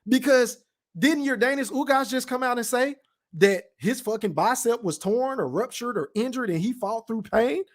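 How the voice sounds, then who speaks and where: A high-voiced man with a Texan accent holds forth on a casual livestream. He is blunt and emphatic, swears freely, and keeps addressing the chat. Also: moderate pace. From Texas, Dallas-Fort Worth. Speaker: podcast host reading live chat.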